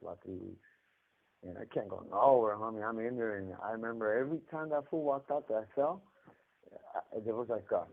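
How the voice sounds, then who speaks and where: Californian man on a tinny prison phone line. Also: lowish voice. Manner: rambling, repeats words, street slang. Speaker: incarcerated caller. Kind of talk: casual storytelling over a prison phone call.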